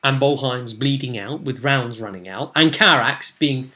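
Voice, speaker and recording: A man's voice: medium pitch, measured pace, pushed and bright. Dramatic young storyteller man. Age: young adult. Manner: rambling, dramatic storyteller, gesturing. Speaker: vlogger and game master. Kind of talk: animated casual recap of a tabletop role-play session. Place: small room at home, indoors.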